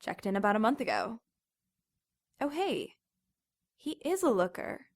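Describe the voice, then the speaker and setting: An actress playing a chatty, casually sarcastic young motel desk clerk in an audio drama. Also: female voice, fairly high pitch, very animated, unhurried. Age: young adult. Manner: casual, sarcastic, then terrified.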